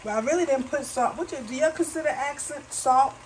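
A chatty woman with a slightly high voice walks her viewers through a recipe at her home stove. She talks casually and calls them y'all. The recording picks up clear kitchen noise and sizzling food from a camera on the counter. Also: fairly quick, a little mellow. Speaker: home cook vlogger. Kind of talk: casual cooking demonstration. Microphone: camera on a counter nearby.

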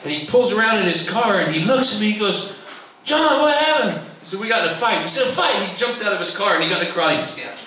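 A man's voice: sits high, expressive, quick pace. A middle-aged man hosting an open mic, telling a casual personal story to the audience. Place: bar lounge with audience. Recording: echoey bar, distant mic, crowd noise.

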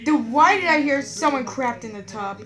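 A young performer doing a rude little cartoon character with a very high, childlike voice. The lines come out blunt and repetitive, in the manner of a homemade skit. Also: animated, moderate pace, a bit bright. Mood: disgusted.

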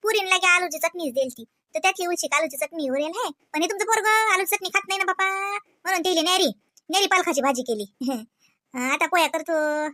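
A woman's voice: very high-pitched, a little fast, bright, forceful tone, no echo, clean studio sound. A woman voicing a chatty, prying village daughter-in-law in a cartoon, gossipy and hesitant, with a Vidarbha Marathi accent. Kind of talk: cartoon comedy dialogue.

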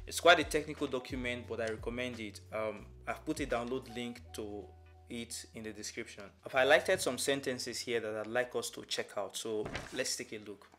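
A man's voice: medium pitch, normal speed, a bit bright, soft background music. Young man, methodical tutorial presenter. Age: young adult. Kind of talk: instructional explanation to camera. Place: small furnished room indoors.

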